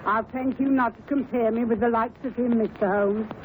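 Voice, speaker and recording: An actress playing an indignant, plain-spoken housekeeper with a bristling, emphatic manner in 1930s radio drama style. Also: female voice, on the high side, steady tone, moderate pace, a little mellow, natural voice. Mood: huffy, insistent. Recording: muffled phone-like 1930s radio recording, hiss.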